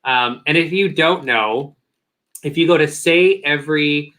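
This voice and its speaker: An earnest man with a bright, forceful tone, co-hosting a casual review chat. He halts and restarts often, and his voice is wearing thin. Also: fairly high pitch. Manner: earnest, halting, many "um" and "uh" restarts. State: losing his voice. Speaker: podcast co-host.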